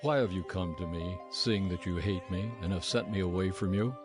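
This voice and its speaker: An actor playing an aging biblical patriarch, grave and deliberate, in a dramatized scripture recording. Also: male voice, deep voice, music in the background, lightly acted. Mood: grave, wary. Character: Isaac, solemn aging biblical patriarch father.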